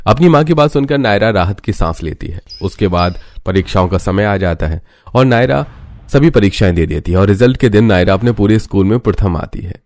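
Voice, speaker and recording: A man's voice: fairly low pitch, lively intonation, a little fast, a little mellow, dry recording. A man reading a scripted story as its narrator.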